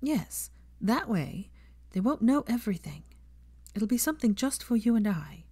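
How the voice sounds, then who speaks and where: A woman's voice: on the high side, big pitch swings, moderate pace, mellow, breathy edge, no echo, voice pushed up. A woman voicing a self-assured young witch who is cheerful, earnest and reassuring, in a story reading.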